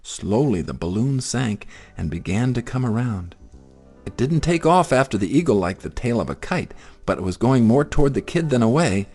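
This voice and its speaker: A man reads a children's story with dramatic expression. His mid-pitched voice swings widely up and down, and there is music in the recording. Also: moderate pace. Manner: expressive, theatrical. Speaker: narrator.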